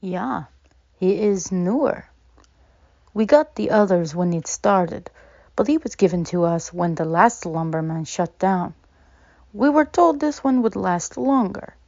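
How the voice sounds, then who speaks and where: A woman's voice: mid-range voice, animated, measured pace, a little mellow, no echo. A woman playing a genial, insistent theater owner in an audio drama, persuasive, on a dull recording.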